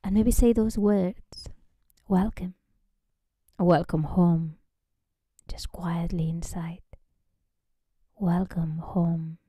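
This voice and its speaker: A woman yoga teacher leading a guided relaxation meditation in a gentle, unhurried way, often softening into a breathy near-whisper. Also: lowish voice, no echo.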